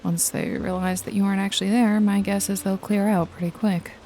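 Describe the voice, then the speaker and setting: A woman's voice: mid-range voice, steady tone, brisk, dark tone, a little hiss or hum, natural voice. A woman playing a wry, jaded detective and hired killer in a noir ASMR roleplay, nonchalant and teasing with a casually menacing edge. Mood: watchful, matter-of-fact. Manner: sardonic, foul-mouthed, nonchalant, teasing. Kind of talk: noir ASMR roleplay, intimate one-sided dialogue to listener. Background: jazzy music and rain effects.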